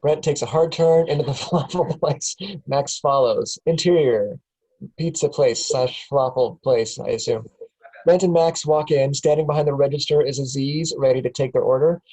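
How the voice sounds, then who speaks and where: Mellow man reading stage directions. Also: medium pitch, moderate pace, low-quality recording. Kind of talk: scripted narration at a table read.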